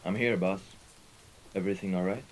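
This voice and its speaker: A man's voice: low-pitched, fairly even intonation, brisk, a little hiss or hum, natural voice. A man playing a loyal, deferential mafia henchman in a scripted ASMR roleplay drama, earnest and submissive toward a superior. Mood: puzzled, deferential.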